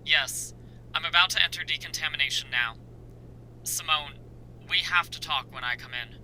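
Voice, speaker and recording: A man acts a steady, reassuring male survivor in a horror radio play. His voice is bright and forceful, with an earnest delivery. There is faint noise in the background. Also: on the high side, moderate pace, rough recording. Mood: serious. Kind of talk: amateur horror radio drama acting.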